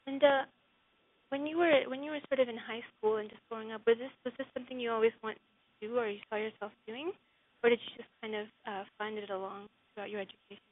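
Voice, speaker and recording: A woman's voice: fairly high pitch, steady tone, fast talker, no echo. A woman interviewing a guest, asking questions hesitantly with many ums and uhs. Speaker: interviewer, blog writer. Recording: phone-line sound with faint hiss.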